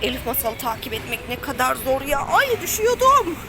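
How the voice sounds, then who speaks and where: A woman's voice: high-pitched, sing-song, brisk, music in the background. A woman giving playful, exclamatory running commentary to a child, coaxing and encouraging while she moves around a play area. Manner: physically active while talking. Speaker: family vlog host playing along with a child. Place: play park obstacle course. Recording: noisy play area ambience.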